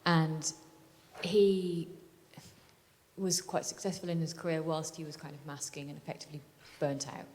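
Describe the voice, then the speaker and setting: Woman, audience member, low voice, hesitant, reverberant hall, faint noise. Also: level tone, moderate pace, a little mellow. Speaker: audience member asking a question. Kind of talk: audience question at a panel. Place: large conference hall.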